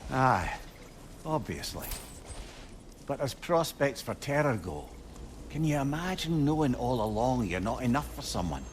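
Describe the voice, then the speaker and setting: Lively, eloquent older advisor. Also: male voice, mid-range voice, measured pace, slight background noise. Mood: regretful. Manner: wordy, lyrical, expressive storytelling. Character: wry, eloquent, self-doubting older male advisor, warm with his friend. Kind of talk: dramatic video game acting.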